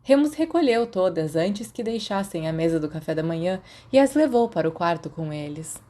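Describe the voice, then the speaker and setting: A woman's voice: fairly low pitch, animated, moderate pace, warm tone. A woman with a Brazilian accent reading a story aloud as scripted narration. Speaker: audiobook narrator of fan fiction.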